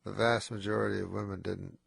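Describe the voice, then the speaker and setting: Tired, nasal man with gravelly voice. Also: fairly quick, no room sound. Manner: mumbling, slurred, low-energy deadpan. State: tired and stuffed up. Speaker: guest. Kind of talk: casual radio interview.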